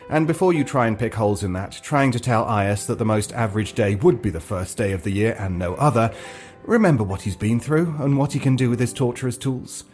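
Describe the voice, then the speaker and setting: Expressive male storyteller with dry delivery. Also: medium pitch. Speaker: story narrator. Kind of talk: scripted comic fantasy narration.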